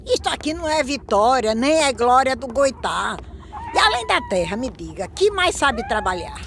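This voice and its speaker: An actress with a Brazilian accent playing a shrewd, questioning village woman in an animated verse drama. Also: high-pitched, normal speed, background noise. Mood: probing, dismissive.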